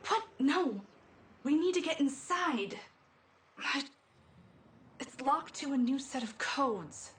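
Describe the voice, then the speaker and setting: A woman's voice: high-pitched, lively intonation, slow, long pauses, a bit bright, full character voice. A woman playing a determined young female space operator in a video game, in roomy, echoing audio. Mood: insistent. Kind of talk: video game character dialogue.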